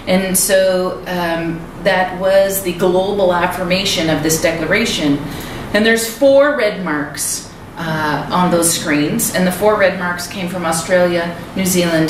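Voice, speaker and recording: A woman giving a public lecture in a conversational way, with frequent ums. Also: mid-range voice. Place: lecture hall. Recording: echoey hall, far from mic, noisy.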